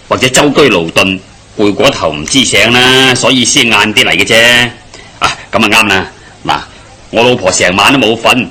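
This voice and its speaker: An actor playing a grumbling, henpecked village husband with a Hong Kong Cantonese accent, sighing and complaining in a radio drama. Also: male voice, lowish voice, pushed and bright, husky.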